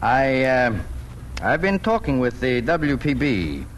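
Lively, genial farmer father. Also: mid-range voice, slow pace. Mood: genial, thoughtful. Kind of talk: 1940s radio acting. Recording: noisy old 1940s radio recording.

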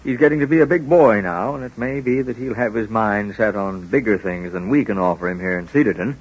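An actor playing a wise, genial, wry small-town doctor with a folksy manner in an old-time radio drama. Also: male voice, lowish voice, brisk, breathy edge, highs cut off. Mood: wry, doubtful. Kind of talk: 1940s radio acting.

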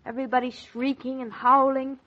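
Young american newlywed wife, girlish and chatty, highish voice, muffled old 40s radio sound. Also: flat delivery, brisk, a bit bright. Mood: complaining. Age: young adult. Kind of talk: 1940s radio drama acting. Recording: muffled 1940s radio recording.

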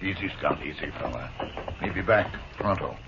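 A stoic, loyal companion in a 1950s radio western. His voice is deep and he talks in short, low-key phrases. Heavy noise covers a dull recording. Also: lively intonation, moderate pace. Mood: quiet, watchful.

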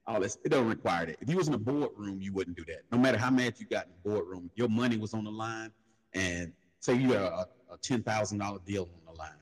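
A man talks in an easy, laid-back way and tells street stories. His voice sits low and a little husky. A long reverb trails behind every phrase. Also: quick pace. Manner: laid-back storytelling, slips into loud high mocking voices when quoting others. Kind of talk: casual panel discussion.

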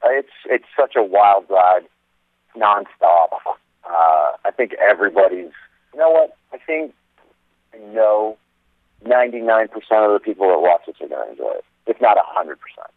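A man's voice: lowish voice, lively intonation, normal speed, dry recording. A man from Lebanon, an actor talking as a guest by phone, upbeat and chatty with lots of fillers. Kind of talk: phone interview. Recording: phone-line audio.

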